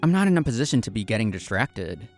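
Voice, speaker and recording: A man's voice: on the low side, sing-song, quick pace, faint music behind. A man playing an earnest, polite young café assistant manager, narrating his own story in scripted first person. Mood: earnest, reflective. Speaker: narrator and lead actor of a manga story video.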